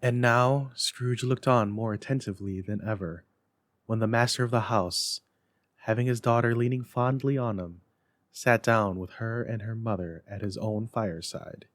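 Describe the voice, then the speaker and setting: A man narrating a classic story as a dramatic reader, with expressive, emphatic delivery. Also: fairly low pitch, normal speed, no room sound. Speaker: library staff story reader.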